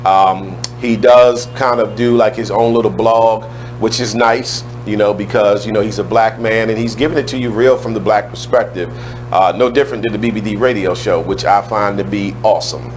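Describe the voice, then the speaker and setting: A man with a loud, strong voice hosting a radio talk show in a casual, chatty way, speaking into a studio microphone. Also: fairly low pitch, fairly even intonation, normal speed, a little hiss or hum, low-quality recording.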